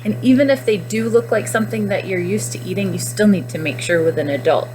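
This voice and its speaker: A woman teacher doing a guided read-aloud for young children, pausing to explain and to question her listeners directly. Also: mid-range voice, a little mellow, slight background noise, poor audio.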